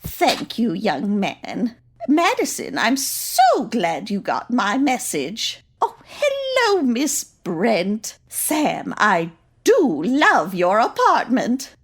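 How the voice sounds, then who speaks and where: Slow, swooping, high-voiced sweet grandma. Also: female voice, wildly swooping pitch, husky, heavily acted. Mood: sweet, fussing. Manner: genteel, fussing, innocently cheerful. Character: sweet, doting, chatty, unflappable old grandmother. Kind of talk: old-time radio comedy drama acting.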